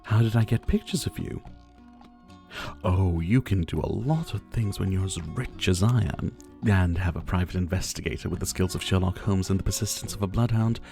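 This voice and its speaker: A man's voice: sits low, normal speed, vocal fry, warm tone, faint music behind. A man playing a possessive, flirtatious and condescending rich dictator in an intimate ASMR roleplay, doting on the listener with pet names. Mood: smug, flirtatious. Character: possessive, teasing male yandere dictator, rich and menacing.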